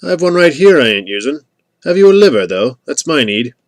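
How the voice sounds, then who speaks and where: A man voicing an ancient, croaking male graverobber haggling in an audiobook. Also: on the high side, big pitch swings, brisk, no room sound, voice pushed up. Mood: eager bartering.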